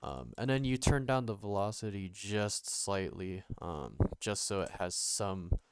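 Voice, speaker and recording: A young man with a nasal voice, recovering from being sick, talking casually through a music production tutorial with many pauses and fillers. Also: expressive, clean tone. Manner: halting, many ums and restarts, low-key. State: recovering from illness. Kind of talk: casual screen-recorded tutorial.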